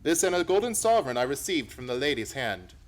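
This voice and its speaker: An actor playing a self-assured gentleman detective in an old-time radio play, formal, articulate and wry. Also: mid-range voice, very animated, fast, faint music behind, natural voice. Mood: wistful.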